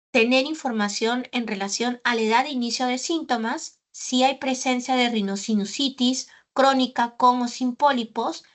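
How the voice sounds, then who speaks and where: A woman pulmonologist giving a medical lecture, explaining carefully and often pausing on filler sounds and tag questions. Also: fairly high pitch, fairly bright tone. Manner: didactic, with frequent "¿no?" tags and "eh" fillers.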